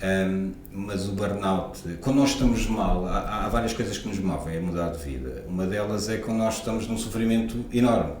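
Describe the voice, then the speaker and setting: A man's voice: low voice, moderate pace, hardly any pauses, a little mellow, slight background noise. A man in his forties from Lisbon with a European Portuguese accent, a guest osteopath talking reflectively with many hesitations. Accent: European Portuguese, Lisbon area. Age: 40s. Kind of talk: casual interview talk. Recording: echoey room, off-mic, faint ambience.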